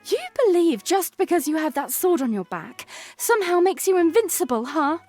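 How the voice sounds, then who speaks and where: A woman's voice: very high-pitched, very animated, moderate pace, a little mellow, soft background music. An actress voicing an earnest, worried young princess in an audio drama who teases her knight. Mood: teasing.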